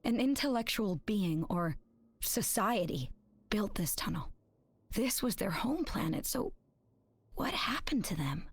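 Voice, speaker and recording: A young woman playing an earnest, clever teenage alien girl in an animated video game, thoughtful and dryly teasing. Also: medium pitch, a little slow, husky, exaggerated voice.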